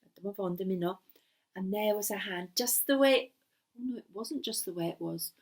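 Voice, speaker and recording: A woman telling a story in an animated, dramatic way, often dropping to a hushed breathy delivery. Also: mid-range voice, brisk. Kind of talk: animated bilingual oral storytelling.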